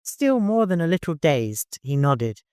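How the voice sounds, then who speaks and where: A man's voice: a little high, big pitch swings, a little slow, a little mellow, clear, resonant tone, dry recording, studio quality. A man reading scripted fiction as an audiobook narrator.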